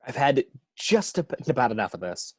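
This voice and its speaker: A man playing a stiff, fed-up grown man out of his depth, halting and protesting, husky, at an amateur table read. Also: medium pitch, big pitch swings, no room sound. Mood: irritated.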